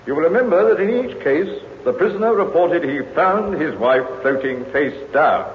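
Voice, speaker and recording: A man acts a methodical police inspector in a 1950s radio drama. His mid-pitched voice is formal yet lively in intonation. The recording is phone-thin with heavy echo. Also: slight background noise, natural voice. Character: methodical male Scotland Yard inspector explaining his theory. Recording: tinny echoey old radio recording.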